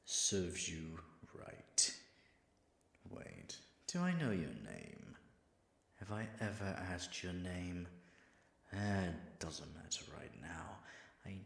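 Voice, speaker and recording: A man's voice: sits low, big pitch swings, slow, creaky, pauses often, fairly bright tone, echoey room, heavily acted. A man acting as an all-powerful, self-conscious dragon in disguise, muttering dry, sardonic asides to himself in a scripted audio roleplay. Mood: smug muttering.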